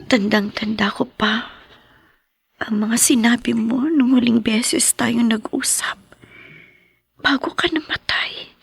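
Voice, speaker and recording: An actress voices a grieving young woman in a drama, whispering through tears. Her voice sits high with a gravelly edge. She speaks slowly, as if each word costs her. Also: steady tone, dry recording, full character voice. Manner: soft tearful whispering. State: crying. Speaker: lead character. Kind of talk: dubbed TV drama acting. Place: hospital room.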